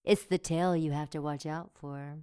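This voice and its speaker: A woman's voice: sits low, fairly even intonation, shouting, very noisy, close to an everyday voice. An actress playing a taunting, seductive female demon in an audio drama, mocking and coldly murderous. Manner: slow, mocking, menacing.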